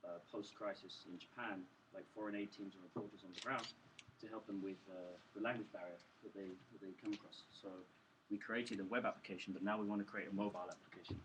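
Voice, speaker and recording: Halting, lowish-voiced man on a noisy mic. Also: steady tone. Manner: hesitant, halting. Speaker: co-presenter, technical developer. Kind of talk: informal live pitch. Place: live event room. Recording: distant mic, clearly noisy room.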